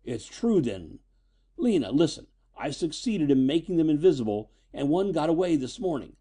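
Need a man playing a furtive older scientist father for pulp audiobook: stern, guilt-stricken, mellow timbre, animated intonation. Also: a little high, moderate pace, voice pushed up. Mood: anguished. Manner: severe, guilt-stricken, hesitant.

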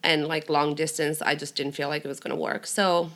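A woman from Greece with a Greek accent, chatting casually as a guest, outspoken and quick to laugh. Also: deep voice, little pitch movement, fairly bright tone, a little hiss or hum. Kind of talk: casual chat. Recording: remote podcast mic.

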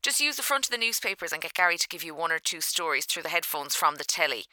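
An actress playing a blithe, offhand Irish newsreader with a brisk manner, in a comic radio play. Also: female voice, deep voice, very animated, sharp tone, no echo, exaggerated voice, low-quality recording. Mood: breezy, apologetic. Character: blithe, offhand radio newsreader woman shirking her shift.

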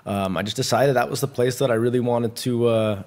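A man answering interview questions casually, relaxed and filling his talk with "you know" and "I mean". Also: medium pitch, brisk. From Santa Cruz, California. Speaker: jiu-jitsu instructor and gym owner.

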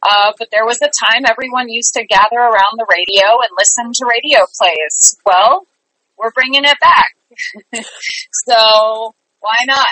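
A woman's voice: on the high side, measured pace, bright, forceful tone, dry recording, poor audio. A woman chatting casually and upbeat as a guest in an interview about her work. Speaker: theater company artistic director, guest.

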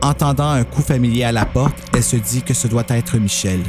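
A man's voice: medium pitch, brisk. Man narrating a story over music. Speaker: podcast host and narrator.

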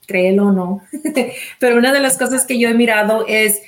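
A woman's voice: on the high side, moderate pace. Chatty woman interviewer slipping in English fillers. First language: Spanish. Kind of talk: casual interview chat.

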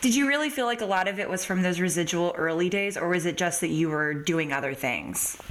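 Female podcast interviewer, pitched a bit low. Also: brisk.

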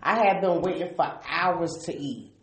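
A woman's voice: fairly low pitch, normal speed. A young American woman chatting casually with others in a frank, emphatic, slangy way. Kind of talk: casual group chat. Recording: echoey room with background noise.

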